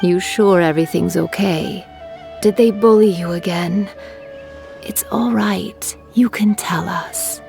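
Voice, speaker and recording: An actress playing a gentle, anxious mother speaking softly and coaxingly. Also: on the low side, slow, vocal fry, music in the background. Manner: soft and coaxing. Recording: game soundtrack behind.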